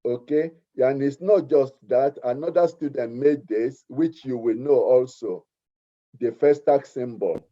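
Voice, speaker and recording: A middle-aged man with a Nigerian accent, speaking earnestly at a community meeting and often repeating his words. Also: medium pitch. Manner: earnest, repeats himself, deliberate. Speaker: community speaker, art teacher. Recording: muffled lo-fi recording.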